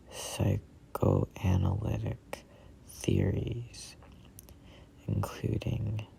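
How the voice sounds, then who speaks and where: A person in their twenties reading a book aloud as a whispered ASMR read-along, in halting, deliberate phrases. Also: deep voice, gravelly, warm tone, low-quality recording. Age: 20s. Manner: halting, deliberate phrasing with stumbles over words. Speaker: reader of a self-help book.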